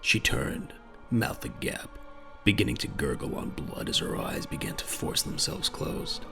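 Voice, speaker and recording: A man with a gravelly voice narrating a dramatic audio drama in a grave, measured storytelling manner. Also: wildly swooping pitch. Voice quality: very deep, gravelly. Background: faint music.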